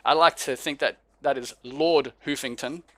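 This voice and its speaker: A man's voice: medium pitch, moderate pace, a bit bright. A man with an Australian accent, co-hosting a casual podcast in a laid-back way, chuckling and stumbling over names as he reads them out. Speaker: podcast co-host. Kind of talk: casual chat.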